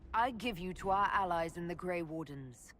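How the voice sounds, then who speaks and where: An actress playing an authoritative female leader in a video game, speaking calmly and in a measured way. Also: on the low side, a little hiss or hum. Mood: stern. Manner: calm, measured.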